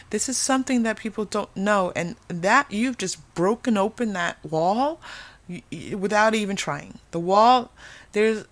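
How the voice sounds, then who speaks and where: Female tarot reader, medium-pitched voice. Unscripted spiritual guidance straight to viewers, earnest, emphatic, with repeated phrases. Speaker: tarot reader hosting a channel.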